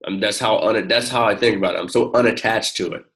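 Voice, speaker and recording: Low-voiced man coaching informally. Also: a bit bright. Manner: informal, encouraging, halting. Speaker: network marketing coach. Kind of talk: one-on-one coaching call.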